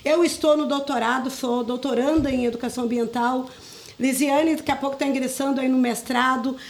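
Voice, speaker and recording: High-pitched woman with a southern Brazilian accent. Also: steady tone, fairly quick, vocal fry. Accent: southern Brazilian, Rio Grande do Sul. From Rio Grande do Sul, southern Brazil. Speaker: interview guest, community group coordinator. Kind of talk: conversational radio interview talk.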